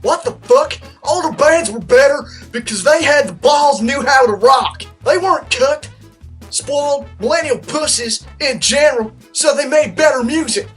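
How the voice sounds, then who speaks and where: A woman's voice: high voice, natural voice. A woman voicing an indignant, scornful metal fan ranting in a comedic dramatic reading of comments. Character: indignant, foul-mouthed defender of old rock bands.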